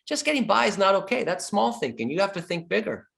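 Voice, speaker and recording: A man's voice: high voice, very animated, quick pace, vocal fry, a bit bright. A middle-aged man giving a sales training talk as a real estate coach, emphatic, repeating his key points and joking with the audience.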